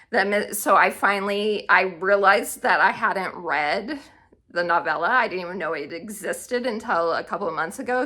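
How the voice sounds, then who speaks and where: A woman's voice: mid-range voice, bright, forceful tone. A woman talking informally as a vlogger, in a casual, chatty style, thinking aloud as she goes. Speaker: book vlogger. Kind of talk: casual, chatty book tag video.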